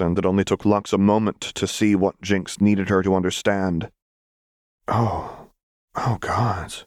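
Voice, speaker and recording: A man reading fiction aloud as an audiobook narrator, with expressive scripted delivery. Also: low voice, no echo, clean studio sound.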